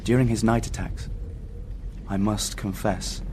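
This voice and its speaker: An actor playing a haunted seafarer recounting a doomed voyage in solemn, scripted first-person horror narration. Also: male voice, fairly low pitch, steady tone, a little slow, background noise, close to an everyday voice. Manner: solemn, literary storytelling.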